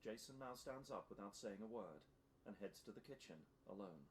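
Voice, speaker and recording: A man's voice: fairly low pitch, lively intonation, moderate pace. A man reading scripted narration for an audio drama as its storyteller. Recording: faint background noise.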